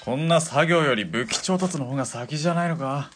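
An actor playing a grumbling, mercenary partner in an assassin duo in a radio drama, with a casual, sardonic way of talking. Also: male voice, on the high side, brisk, a bit bright, slight background noise, natural voice. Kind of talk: Japanese radio drama acting.

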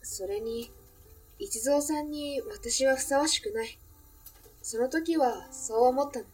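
A woman's voice: high voice, measured pace, darkish tone, husky, recorded from a distance, poor audio. A woman playing a wistful, reflective cafe owner remembering a lost love in a Japanese audio drama. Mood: wistful, nostalgic. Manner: reflective, feminine, emotive storytelling.

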